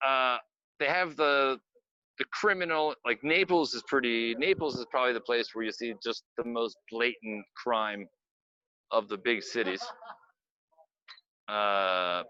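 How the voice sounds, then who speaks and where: A man's voice: medium pitch, takes it slowly, long pauses, pushed and bright, clear, resonant tone, no room sound. A man guiding a live virtual walking tour outdoors, chatty and rambling, talking into a phone. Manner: rambling, chatty, self-interrupting. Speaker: tour guide. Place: outdoor city street and cafe. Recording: dull, lo-fi video call audio.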